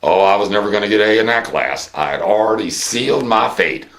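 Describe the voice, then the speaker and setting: An American man giving an unscripted political monologue, theatrical in manner, with rhetorical questions and drawn-out emphasis. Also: on the low side, lively intonation, a bit bright. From United States. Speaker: host of a political commentary show.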